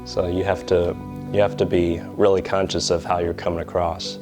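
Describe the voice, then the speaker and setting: A man, an air traffic controller, explaining his work in a measured interview style. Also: low-pitched, flat delivery. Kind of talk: interview reflection. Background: music.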